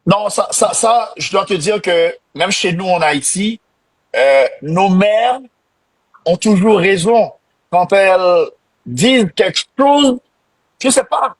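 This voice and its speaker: A man's voice: high-pitched, lively intonation, slow pace, slightly rough, poor audio. A Haitian-born man hosting an interview, reacting often with exclamations and affirmations. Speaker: host.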